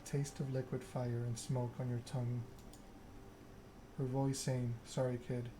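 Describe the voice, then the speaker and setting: A man narrating a story game aloud in ASMR style, reading in a measured way with occasional stumbles. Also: mid-range voice, level tone, background noise.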